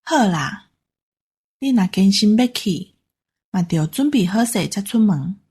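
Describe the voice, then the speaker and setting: Woman playing a husky, practical old Taiwanese grandma. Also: mid-range voice, lively intonation, a little slow, a little mellow. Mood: resigned. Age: older. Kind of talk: audio drama acting.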